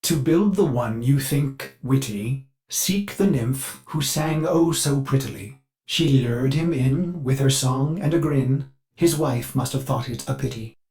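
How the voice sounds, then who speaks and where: Slow, fussy, formal ship AI played by a man. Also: medium pitch, a little mellow, lightly acted, low-quality recording. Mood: eager, reciting. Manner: formal, theatrical, measured, fretful. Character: anxious, devoted ship AI servant, fussy and polite, brooding beneath. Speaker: ship's AI assistant. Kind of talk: scripted video game dialogue.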